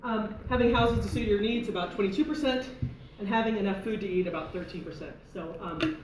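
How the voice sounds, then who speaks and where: A woman's voice: mid-range voice, a little fast. Woman presenting survey results in a meeting. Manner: laughs often, chatty asides. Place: meeting room. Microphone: room mic from afar. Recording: roomy, distant, noisy room recording.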